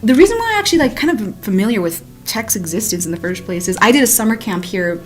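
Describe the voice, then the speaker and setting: A woman's voice: medium pitch, very animated, fast talker. A woman in her early twenties hosting a casual college radio chat in a slangy, jokey, exclamatory way. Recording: music behind the voice. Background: music.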